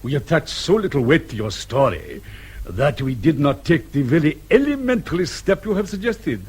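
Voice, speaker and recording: An actor playing a courteous, deliberate police colonel in a radio drama, gently sardonic and measured with hesitations. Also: male voice, a little high, big pitch swings, husky. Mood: wry, conceding.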